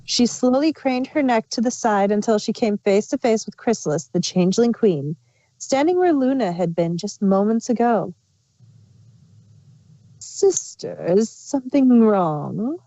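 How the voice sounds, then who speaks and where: A woman's voice: on the high side, animated, moderate pace, dark tone, dry recording, poor audio. A woman reading scripted fan fiction aloud as the narrator.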